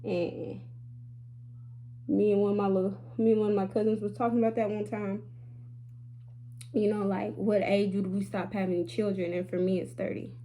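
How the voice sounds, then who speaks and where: A woman's voice: mid-range voice, flat delivery, moderate pace, pauses often, warm tone, slight background noise, rough recording. A woman in her late twenties talking candidly to her viewers in a casual vlog, chatty and sassy, calling them y'all. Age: 20s. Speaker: beauty vlogger.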